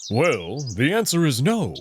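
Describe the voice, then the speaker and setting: A man playing a proud, protective furry alien prince in a visual novel, speaking in an assured, measured way with formal phrasing. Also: on the high side, wildly swooping pitch, slight background noise. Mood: assured, explanatory. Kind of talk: visual novel character acting.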